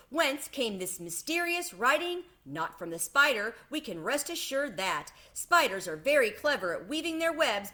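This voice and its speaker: A woman voicing a booming, pompous male fairground announcer from a storybook, with a declamatory, oratorical delivery. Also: sharp tone, exaggerated voice. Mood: grand, showy.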